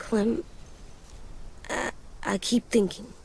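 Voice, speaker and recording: A woman playing an earnest, brooding young boy survivor in a video game drama, speaking haltingly in plain words with a childlike, husky voice. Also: a little high, fairly even intonation, darkish tone, exaggerated voice, poor audio. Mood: troubled, confiding. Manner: halting, slow, plain-spoken.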